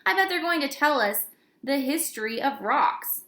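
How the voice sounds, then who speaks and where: Woman playing grumbling schoolboy, storybook read-aloud, emphatic complaints, higher-pitched, bright and forceful, roomy echo. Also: expressive, moderate pace. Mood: scornful. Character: A J, grumbling young schoolboy who hates school. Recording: roomy, echoey indoor recording.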